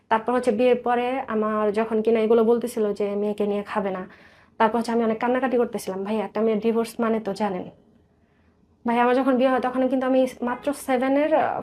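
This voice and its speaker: A Bangladeshi woman shares her personal story as an interview guest. Her voice sits a little high. She speaks earnestly and in detail, returning to points to repeat them. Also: fairly even intonation, fairly quick, darkish tone. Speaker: guest telling life story.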